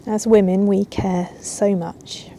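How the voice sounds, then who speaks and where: A woman yoga teacher guiding a relaxation in a soothing, unhurried way with long pauses. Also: medium pitch, little pitch movement, dark tone, a little hiss or hum, rough recording. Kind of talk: guided yoga nidra relaxation. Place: outdoors in a garden. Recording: outdoor ambience with birdsong. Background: birds and garden ambience.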